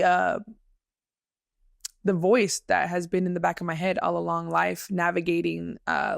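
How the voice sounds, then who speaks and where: A man's voice: high-pitched, gravelly, no room sound, studio quality. A man hosting his own podcast, talking earnestly in a reflective motivational monologue, repeating phrases for emphasis. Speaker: podcast host.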